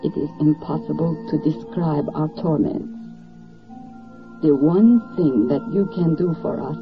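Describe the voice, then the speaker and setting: A woman playing a grieving mother reading out a letter in a 1940s radio drama, mournful and measured. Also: sits low, music in the background. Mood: anguished, resigned. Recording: muffled old 1940s radio recording.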